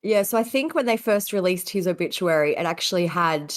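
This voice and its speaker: A woman's voice: lowish voice, animated, fairly quick. A woman co-hosting a podcast, sounding congested from a cold, chatting casually with giggles and fillers. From Australia. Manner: giggly, rambling with many fillers. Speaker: podcast co-host.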